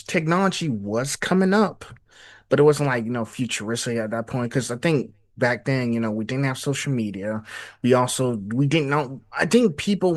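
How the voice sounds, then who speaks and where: Brief: male podcast host, mid-pitched, loose and rambling, enthusiastic. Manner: rambling, enthusiastic, many fillers. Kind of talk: casual interview chat.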